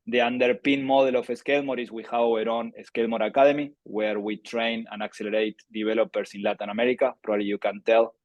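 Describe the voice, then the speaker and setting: A man's voice: medium pitch, level tone, fast, hardly any pauses, no echo, dull audio. A man in his thirties from Argentina, with a strong Argentine accent, presenting a webinar in a chatty, filler-filled way. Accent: Argentine Spanish. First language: Spanish. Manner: conversational, many fillers and restarts. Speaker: startup founder presenting a webinar.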